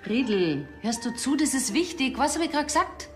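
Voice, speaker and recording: An actress playing a brisk, stern forensic pathologist in a TV crime comedy. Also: female voice, high-pitched, very animated, soft background music. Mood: stern, wry. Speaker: TV actor.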